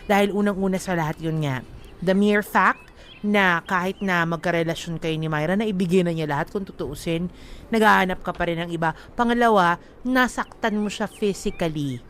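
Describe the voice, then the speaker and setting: A woman's voice: on the low side, moderate pace, fairly bright tone, slight background noise. A woman co-hosting a radio advice show, reading a listener's letter and then commenting emphatically, mixing in English phrases. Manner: emphatic, mixes English into talk.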